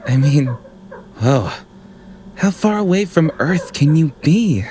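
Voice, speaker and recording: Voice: mid-range voice, sing-song, slow pace, warm tone, slight background noise. An actor playing an uneasy, bewildered executioner's assistant in an audio drama, diffident and muttering.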